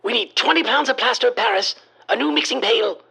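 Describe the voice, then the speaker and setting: A man's voice: very high voice, a little fast, creaky, bright, forceful tone, slightly rough, exaggerated voice, poor audio. A male actor playing a frantic, obsessed occultist in a horror radio play, declaiming in a theatrical, grandiose style. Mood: urgent panic.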